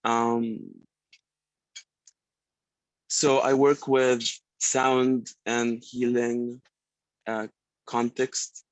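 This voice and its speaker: Sex: man. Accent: Egyptian Arabic. Delivery: slow, flat, hesitant. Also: mid-range voice, no echo, low-quality recording. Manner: hesitant, many ums, gentle and heartfelt. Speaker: participant introducing themself. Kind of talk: casual self-introduction. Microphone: video call.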